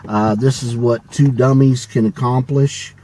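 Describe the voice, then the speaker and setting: A middle-aged man hosting a casual vlog from behind the wheel, talking in a relaxed, rambling, folksy way and laughing often. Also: medium pitch, a little slow. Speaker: channel host. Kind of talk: casual vlog talk while driving. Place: inside a moving car. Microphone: camera held by a passenger. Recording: loud road and engine noise, mic a little far.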